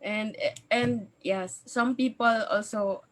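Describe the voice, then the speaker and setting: Woman host, high-ish voice, slow and halting, giggles through her questions. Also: fairly even intonation. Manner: halting, many fillers, giggly. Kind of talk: casual interview chat.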